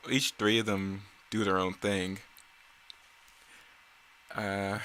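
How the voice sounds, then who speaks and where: A man with a fairly low but bright, forward voice talks through his own creative work. He rambles conversationally and is candid and self-critical, in loose commentary style. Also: a little hiss or hum. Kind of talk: casual off-the-cuff commentary.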